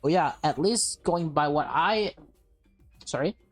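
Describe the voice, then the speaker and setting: A woman voicing a nervous, earnest young man in a visual novel, halting and apologetic as she reads. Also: low voice. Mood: explaining. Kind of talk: visual novel read aloud in character. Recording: game music behind the voice.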